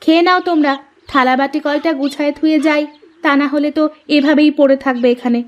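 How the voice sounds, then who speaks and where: A woman's voice: sits high, steady tone, fairly quick, highs cut off, natural voice. A woman voicing a hard-working village housewife and mother in a cartoon, with a fondly scolding, complaining manner. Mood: aggrieved. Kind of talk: cartoon dialogue acting.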